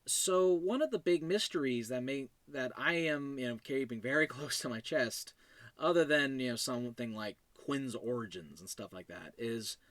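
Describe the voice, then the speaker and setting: A man, around his thirties or older, talking casually and haltingly as a podcast host, rambling in a self-deprecating, chuckly way. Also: mid-range voice, fairly bright tone, dry recording. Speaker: podcast host and writer of an audio drama series. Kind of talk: casual rambling solo commentary.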